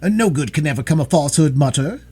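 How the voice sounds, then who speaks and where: A man voicing a frank, earnest young woman with a calm, firm manner, in a storybook reading. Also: on the high side, fast talker, husky, voice pushed up.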